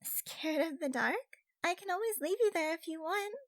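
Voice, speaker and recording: A woman's voice: extremely high pitch, animated, normal speed. Perky, teasing young fox girl, acted by a woman. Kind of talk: audiobook character acting.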